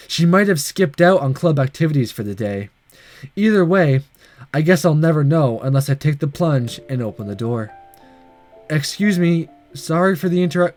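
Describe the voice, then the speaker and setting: A man's voice: fairly high pitch, darkish tone. A man hosting a gaming video, reading a visual novel aloud with casual comments and stumbling over the odd line. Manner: stumbles and rereads lines.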